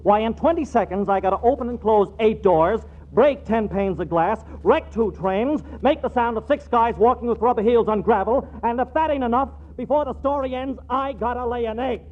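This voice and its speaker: A man's voice: extremely high pitch, moderate pace, hardly any pauses. An actor playing a surly, overworked, wisecracking sound effects man in a 1940s radio comedy. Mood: grumbling, wisecracking. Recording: tinny, phone-like 1940s radio recording.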